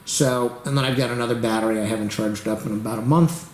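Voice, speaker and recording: A man hosting a casual computer tutorial, rambling as he waits, losing his voice and clearing his throat. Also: mid-range voice, fairly even intonation, fast talker, echoey room, a little hiss or hum, rough recording. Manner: rambling, talks to the camera while waiting. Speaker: YouTube tech tutorial host. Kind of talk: rambling casual screen-recording commentary.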